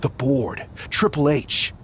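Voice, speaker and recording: A determined, ambitious young pro wrestler in a game story scene. His pitch is average, with faint noise underneath. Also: fairly even intonation, slow pace, fairly bright tone. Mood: resolute. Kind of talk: scripted game cutscene dialogue.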